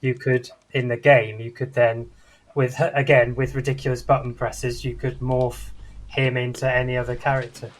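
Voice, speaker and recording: A man with a medium-pitched voice talks informally about games and films. He is one panelist in a relaxed group conversation. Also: normal speed, poor audio. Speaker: podcast panelist. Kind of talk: casual film and game chat.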